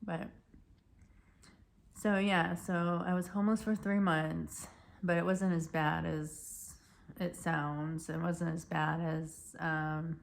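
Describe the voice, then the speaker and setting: Slow, gravelly woman losing her voice. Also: on the low side, level tone, a little hiss or hum. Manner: rambling, halting, many restarts and fillers. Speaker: vlogger. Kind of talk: casual personal storytelling.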